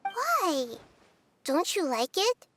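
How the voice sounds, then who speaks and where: A woman voicing a gentle, wise childlike goddess in a video game, soft and girlish, explaining things thoughtfully. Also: very high-pitched, huge pitch swings, extremely slow, music in the background, full character voice. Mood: playful.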